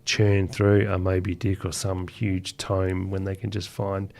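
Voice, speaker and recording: A man's voice: deep voice, monotone, unhurried, gravelly, darkish tone. A man with an Australian accent chatting informally and enthusiastically as a guest author in an interview. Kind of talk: casual conversational interview.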